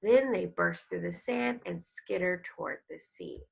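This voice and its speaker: A woman teacher reading a picture book aloud to young pupils, expressive and instructive, pausing to explain and ask questions. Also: on the low side, vocal fry, breathy edge, poor audio. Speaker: elementary school teacher.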